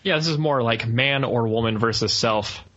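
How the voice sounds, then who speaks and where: Male podcast co-host, bright, forceful voice, casual and jokey review banter. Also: mid-range voice, normal speed, lo-fi sound. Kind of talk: casual film review chat.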